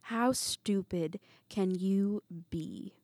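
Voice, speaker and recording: A woman's voice: mid-range voice, a little fast, mellow, no room sound, clean studio sound, lightly acted. A woman voicing a cruel, sneering female teacher who berates a child in a scornful, accusatory, theatrical manner. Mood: cold, cutting.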